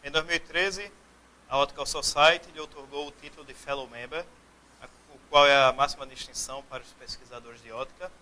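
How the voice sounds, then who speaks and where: A man acting as host, reading a formal introduction of a guest speaker in a brisk, formal manner. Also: on the high side, fairly even intonation, sharp tone, low-quality recording.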